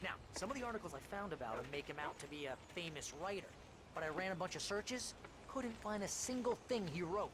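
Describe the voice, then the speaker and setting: An actor playing an excitable, chatty, brash agent and best friend in a video game. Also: male voice, high-pitched, brisk. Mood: excited. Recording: clear ambient background noise.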